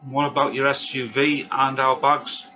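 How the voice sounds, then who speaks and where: A muffled recording with faint noise. A man plays a wary, wry companion at a drama table read, blunt and slangy, with a bright, forceful voice. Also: mid-range voice, level tone, moderate pace, close to an everyday voice. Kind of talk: table read of a TV pilot script. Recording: dull, lo-fi, faint background noise.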